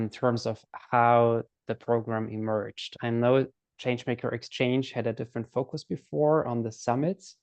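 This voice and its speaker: A man hosting an interview, asking questions in a flat, hesitant way with ums. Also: mid-range voice, gravelly, no echo. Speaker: interview host.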